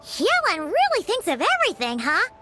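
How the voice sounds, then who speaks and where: A woman voicing a small, excitable, childlike female fairy companion with a squeaky voice in an anime video game. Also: normal speed, music in the background, exaggerated voice. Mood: curious, unsure. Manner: refers to herself in third person, exclamatory.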